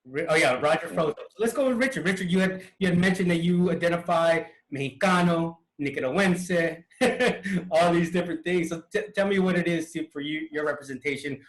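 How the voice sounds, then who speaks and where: A man's voice: on the high side, a little fast. Man hosting a panel in an echoey room. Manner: chatty, loose, repeats words. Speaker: panel host. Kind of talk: casual panel conversation. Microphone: video call mic.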